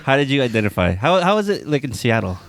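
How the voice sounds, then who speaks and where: A man's voice: medium pitch, very animated, fast talker, noisy background. A man hosting a podcast, giving brief prompts and back-channel replies in a casual panel chat. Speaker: podcast host.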